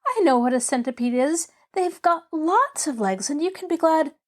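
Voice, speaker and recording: A woman's voice: a little high, sing-song, moderate pace, a little mellow. A woman voicing a cheerful, earnest little girl in a storybook, chattering on in an eager, artless, rambling way. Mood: delighted. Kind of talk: children's storybook character voice.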